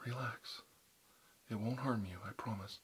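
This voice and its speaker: A man speaking softly and reassuringly, often in a whisper, as a caregiver in a medical roleplay. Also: mid-range voice, fairly even intonation, measured pace, a little hiss or hum.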